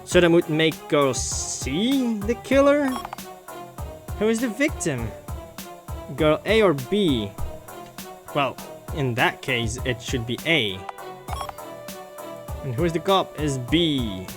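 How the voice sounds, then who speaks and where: A gaming video host reading a visual novel aloud in an animated way and reacting to it. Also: mid-range voice, slow, long pauses, music in the background. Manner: animated, voices the characters while reading.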